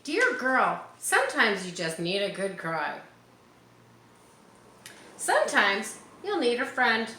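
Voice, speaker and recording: A woman's voice: a little high, wildly swooping pitch, slow, long pauses, pushed and bright. A woman reading a picture book aloud to children, speaking to them directly and encouragingly with casual asides. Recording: roomy echo, faint background noise.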